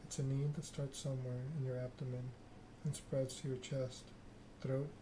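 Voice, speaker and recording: Even-toned male streamer over clear background noise. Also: medium pitch, normal speed. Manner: reads narrative text aloud, chats with viewers. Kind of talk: soft ASMR game narration and casual chat with viewers.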